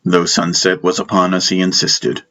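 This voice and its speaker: A man narrating a first-person fantasy audiobook in measured storytelling fashion. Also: sits low, completely flat delivery, sharp tone, slight background noise.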